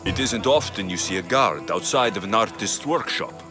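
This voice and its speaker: Man playing low-voiced, blunt mercenary. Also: expressive, normal speed, over music, natural voice. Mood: firm. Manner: terse, self-assured. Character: blunt, hard-headed Greek mercenary hero. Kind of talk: video game cutscene acting.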